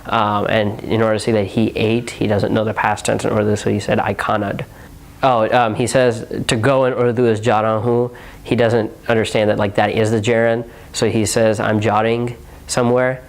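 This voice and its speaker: A young man from Houston, Texas, speaking in a low, fairly flat voice. He answers interview questions casually, with a steady stream of "um" and "like" fillers. He sounds like a relaxed university student thinking out loud. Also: moderate pace, gravelly. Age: young adult. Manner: relaxed, heavy on filler words like "um" and "like".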